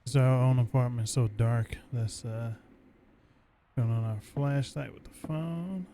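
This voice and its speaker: A man's voice: medium pitch, measured pace, dark tone, a little hiss or hum. A man giving casual, laid-back gameplay commentary, musing to himself as he plays. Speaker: let's play gamer commentating.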